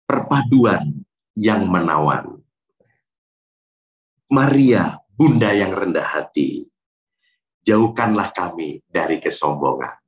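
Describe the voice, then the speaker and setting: Slow-speaking Indonesian priest. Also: male voice, deep voice, lively intonation, rough recording. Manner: joking, laughs often, recites rhyming verses. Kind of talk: relaxed devotional chat with rhymes and a hymn.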